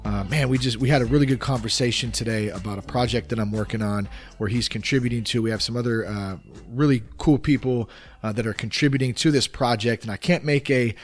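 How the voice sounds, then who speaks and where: A man with a raspy voice that sounds worn and tired hosts his own podcast. He rambles in a casual, upbeat way with plenty of fillers, at an ordinary pitch for a man. Faint music plays behind him. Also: steady tone, quick pace. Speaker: podcast host. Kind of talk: solo podcast monologue.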